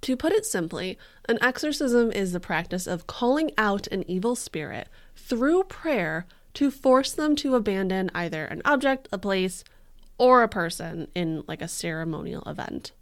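A woman's voice: mid-range voice, expressive, moderate pace. A young woman co-hosting a casual true crime podcast, joking around and peppering her speech with "like". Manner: bubbly, joking, heavy use of "like". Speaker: podcast co-host.

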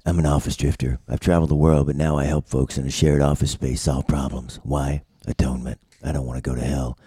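Man acting a deep-voiced noir drifter, dark tone, deadpan, cryptic, world-weary, web series table read. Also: brisk, husky, dry recording, clean studio sound, heavily acted. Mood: brooding. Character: drifting, world-weary office problem-solver, cryptic and atoning.

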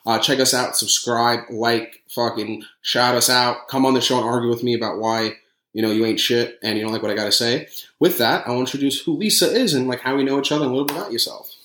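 Profane New York man, quick rambling delivery, roomy echo. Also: medium pitch, fairly even intonation, a bit bright. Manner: brash, profane, fast rambling banter. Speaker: podcast host. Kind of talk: casual podcast chat.

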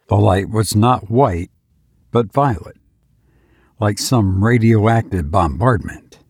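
A man speaks as the host and reader of a horror podcast, in a close, dry recording. His delivery is slow and deliberate, with measured phrasing. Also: on the low side, a little mellow, slightly rough, no echo. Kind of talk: slow intimate horror narration and host talk.

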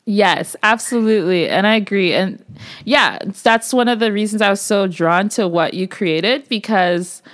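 A woman hosting a casual interview, laughing often and speaking softly as she asks her questions. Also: medium pitch, normal speed.

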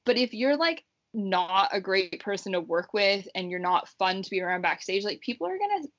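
A young woman chats as a guest, stringing loose thoughts together and filling every gap with "like." Her voice sits at an ordinary middle pitch. The audio is dull and slightly muffled. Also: very animated, normal speed, fairly bright tone. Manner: rambling, heavy use of "like". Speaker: guest, pro wrestler. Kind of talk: casual interview chat.

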